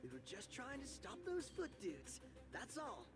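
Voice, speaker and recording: An actor voicing a playful, goofy young ninja turtle who talks in excitable surfer slang. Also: male voice, extremely high pitch, a little slow, heavily acted. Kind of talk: video game cutscene acting. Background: music.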